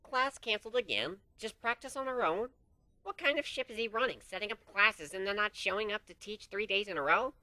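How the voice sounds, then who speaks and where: A man's voice: high-pitched, lively intonation, brisk, pushed and bright, dry recording, voice pushed up, full character voice, rough recording. A man voicing a nervous, nerdy young unicorn mare who whines and frets. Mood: whiny. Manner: whiny, jittery, frightened.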